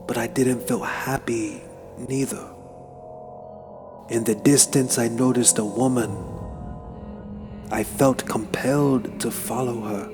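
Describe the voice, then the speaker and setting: A man narrates a horror tale in a dramatic, solemn style. His delivery is slow and deliberate, built for suspense, with a medium-pitched voice. Music plays clearly behind him. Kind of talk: dramatic horror story reading. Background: background music.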